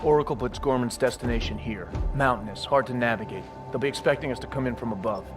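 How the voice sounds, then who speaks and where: A male actor plays a Navy SEAL commander in a military game. He talks quickly in clipped, authoritative phrases, decisive and businesslike, with music clearly behind him. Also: mid-range voice, natural voice. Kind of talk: cinematic military game acting.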